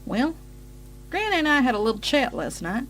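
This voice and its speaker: A woman's voice: high-pitched, big pitch swings, moderate pace, a bit bright, soft background music. A woman voicing a kindly, folksy grown farm pony, a big sister, in a fan fiction audio drama. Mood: warm, reassuring.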